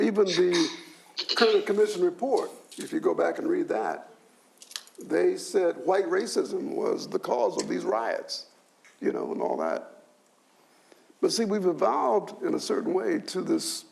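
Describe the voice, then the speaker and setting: An older man with a high, strongly gravelly voice speaks on a discussion panel. His pitch moves a lot as he explains, and he often repeats a word before going on. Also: darkish tone. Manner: repeats and restarts words, adds "you see". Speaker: sociology professor, panelist.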